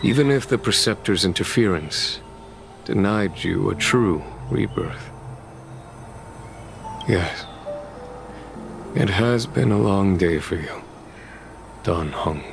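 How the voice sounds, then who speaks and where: A man playing a composed, gentle, wise general in a video game, speaking in a calm, measured, reflective way. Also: deep voice, vocal fry, heavily acted. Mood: reflective, consoling. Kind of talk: dramatic video game voice acting. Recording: background music over game dialogue.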